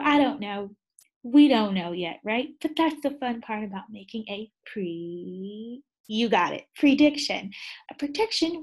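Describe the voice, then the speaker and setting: A woman teacher reading a picture book aloud to second graders. She is animated and keeps asking the children questions. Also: fairly high pitch, slightly rough, poor audio. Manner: animated, asks the class many questions. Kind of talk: read-aloud lesson for children.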